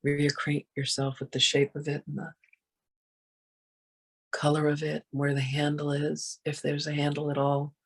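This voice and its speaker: Soft, breathy voice guiding a meditation. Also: medium pitch, monotone. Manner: gentle, soothing, unhurried, with long pauses. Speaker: meditation guide. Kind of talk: guided meditation.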